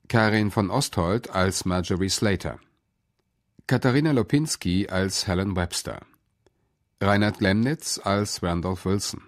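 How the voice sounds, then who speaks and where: Very deep, slow male radio announcer. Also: dry recording, studio quality. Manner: formal, measured. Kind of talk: scripted credits announcement.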